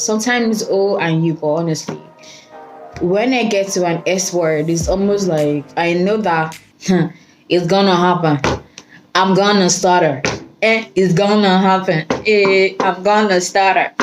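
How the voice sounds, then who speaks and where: A woman's voice: medium pitch, rough recording. A woman talking casually to camera, giving tips on speaking, who stutters on some sounds and laughs at herself. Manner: chatty, repeats "you guys", laughs at herself. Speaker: vlogger teaching speaking tips.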